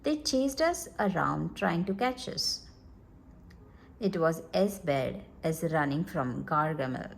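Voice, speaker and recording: A woman reading a children's storybook aloud in an expressive, deliberate manner. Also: low voice, gravelly, a little hiss or hum. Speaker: storybook reader.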